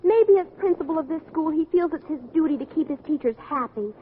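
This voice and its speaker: A woman's voice: high-pitched, lively intonation, fairly quick. An actress playing an earnest, chatty, meddling teenage schoolgirl in a vintage radio sitcom. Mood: earnest, gushing. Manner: gushing, earnest, tactlessly frank. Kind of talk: 1940s-50s radio sitcom acting. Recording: narrow vintage radio recording, audience, hiss.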